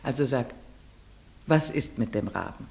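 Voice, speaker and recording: An actress playing a young village schoolteacher in an old radio play, questioning a pupil calmly and narrating in first person. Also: female voice, extremely low pitch, a little slow, gravelly, husky, no echo, full character voice. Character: young unmarried female village teacher, strict but kind.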